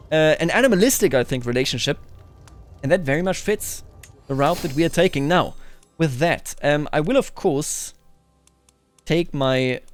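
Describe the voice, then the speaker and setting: A man hosting a game playthrough, musing aloud and reading in-game text with playful theatrical flair. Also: on the high side. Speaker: host of a let's play series.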